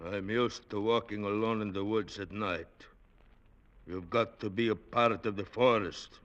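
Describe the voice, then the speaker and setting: Brooding, scornful young war veteran of the forest, hard-edged tone, muffled old radio drama. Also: male voice, lowish voice, fairly even intonation, measured pace, a bit bright. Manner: intense, scornful. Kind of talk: 1940s-50s radio drama acting. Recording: dull vintage radio recording.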